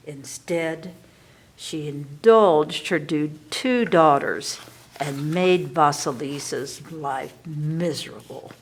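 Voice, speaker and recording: A woman librarian reading a fairy tale aloud at storytime, gentle and at times dropping to a whisper. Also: sits low. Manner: gentle, dips into soft whispers. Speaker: children's librarian.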